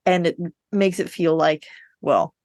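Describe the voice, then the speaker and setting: A woman chatting casually as a podcast co-host, telling things in an animated, digressive way. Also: sits low, a little slow, no echo. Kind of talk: casual book discussion chat.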